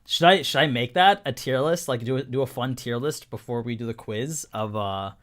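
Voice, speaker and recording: A young man talks casually on a live stream, his voice a little hoarse after an hour of talking. His pitch sits in a middle register, and he rambles with plenty of fillers and restarts as he answers chat. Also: moderate pace, a bit bright.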